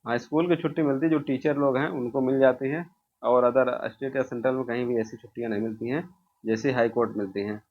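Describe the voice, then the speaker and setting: A man's voice: medium pitch, steady tone, fast talker. A man giving an informal exam-prep lesson, explaining by asking questions and answering them himself. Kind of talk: informal lesson walking through quiz questions. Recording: dull, muffled lo-fi sound.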